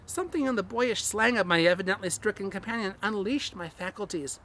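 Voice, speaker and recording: Bright-voiced man reading horror, theatrically intense. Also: high voice, animated, fairly quick, slight background noise. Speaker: reader. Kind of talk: dramatic horror narration, scripted literary reading.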